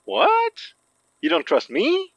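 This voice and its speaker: A man's voice: extremely high pitch, huge pitch swings, slow pace, vocal fry, fairly bright tone, dry recording, muffled recording, exaggerated voice. A man acting a wry, cocky, protective occult sleuth in a naturalistic audio drama. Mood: defensive. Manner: sarcastic, cocky.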